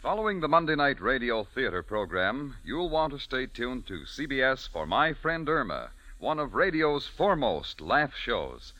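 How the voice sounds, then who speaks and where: Male radio announcer, bright lively read, dry close sound, old broadcast. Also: medium pitch, moderate pace, few pauses, no echo. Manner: smooth, formal, promotional.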